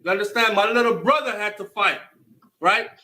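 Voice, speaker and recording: A man's voice: high voice, brisk, bright, forceful tone. A man from Jamaica with a Jamaican accent telling a personal story emphatically, slipping into patois and swearing freely. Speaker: storyteller recounting own life. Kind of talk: animated personal storytelling to camera.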